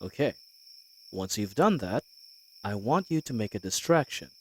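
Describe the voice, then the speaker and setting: A man's voice: on the low side, very animated, normal speed, a little mellow, slightly rough. An actor playing a cocky but wary male bandit leader in a fantasy audio roleplay, with a folksy, wry way of talking. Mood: hushed, commanding. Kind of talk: scripted fantasy audio roleplay, addressing the listener.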